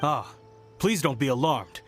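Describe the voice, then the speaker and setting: An actor playing a courteous, dutiful soldier on guard in a video game, formal and reassuring. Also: male voice, medium pitch, animated, unhurried, soft background music, close to an everyday voice.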